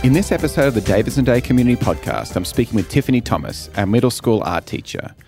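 A man's voice: mid-range voice, lively intonation, fast talker, music in the background. A man hosting an interview podcast, moving between scripted introductions and questions for a guest. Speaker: podcast host, head of school.

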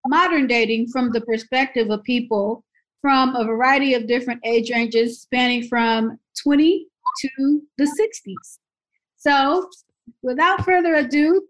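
A woman's voice: sits high, poor audio. A woman hosting a casual podcast, introducing guests with playful energy. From Dayton, Ohio area. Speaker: podcast host.

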